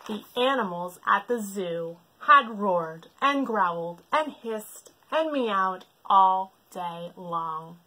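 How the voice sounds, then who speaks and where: A woman reads a picture book to children in an expressive storytime style. Her delivery is slow and very lively in pitch, with a slightly husky edge. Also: poor audio. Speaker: library storytime reader. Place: book-lined room at home, indoors.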